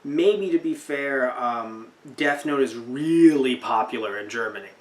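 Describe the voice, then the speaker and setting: A man's voice: mid-range voice, expressive, unhurried. A young man podcast host chatting casually about anime in a rambling, incredulous way, often repeating himself. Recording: roomy echo, distant from mic.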